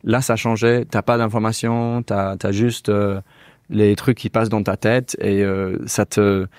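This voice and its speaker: A man's voice: lowish voice, little pitch movement, unhurried, darkish tone, smooth, dry recording, clean studio sound. A man in his thirties from England with an English accent, a native English speaker talking in French as an interview guest, hesitant and often searching for words. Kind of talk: casual conversational interview. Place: small indoor studio with couch. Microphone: lapel mic.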